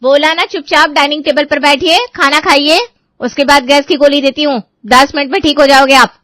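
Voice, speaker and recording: A woman's voice: high-pitched, steady tone, fairly quick, fairly bright tone, dry recording, pitched above the performer's own voice. A woman voicing a bossy, suspicious young wife who scolds and insists in a comic audio story. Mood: stern, bossy.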